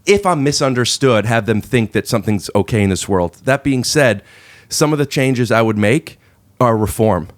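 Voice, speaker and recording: A man's voice: medium pitch, animated, moderate pace. A man hosting a casual podcast chat, playful and prone to running jokes and rambling tangents. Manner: playful, jumps into mock bits and tangents. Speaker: podcast host.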